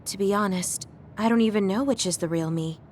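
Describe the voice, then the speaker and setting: A woman voicing a gentle, caring young woman in a video game, speaking politely and softly. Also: mid-range voice, brisk, slight background noise, lightly acted. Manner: polite and soft-spoken. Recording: music under the dialogue.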